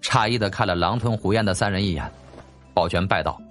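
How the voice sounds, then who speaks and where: A man reading a novel as scripted audiobook narration in an animated, dramatic way. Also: on the low side, fairly quick, soft background music.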